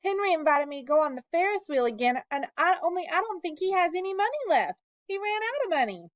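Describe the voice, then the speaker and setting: A woman's voice: extremely high pitch, sing-song, fast, no room sound, pitched above the performer's own voice. A woman voicing an eager little girl. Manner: eager, pleading. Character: eager young girl at the fair. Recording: dull lo-fi dry recording.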